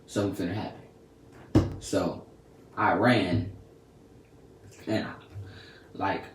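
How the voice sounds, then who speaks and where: A man's voice: low voice, steady tone, takes it slowly, reverberant, far from the mic, background noise. A teenage boy telling a story to camera in a casual, slangy, chatty way. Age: teens. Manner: laid-back, slangy and chatty. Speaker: vlogger.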